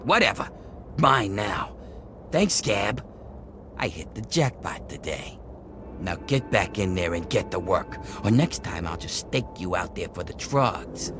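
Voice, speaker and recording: A man's voice: sits low, big pitch swings, unhurried, background noise. An actor playing a brutal male slaver guard in a video game, taunting and swearing. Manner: taunting and profane.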